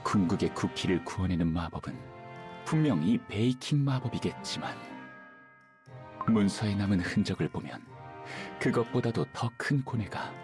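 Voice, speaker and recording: Man acting polite scholar over loud music. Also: lowish voice, lively intonation, normal speed, natural voice. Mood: thoughtful. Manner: formal, thoughtful, hesitant. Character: polite analytical male living cookie scholar, earnest, worried. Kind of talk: scripted video game cutscene acting.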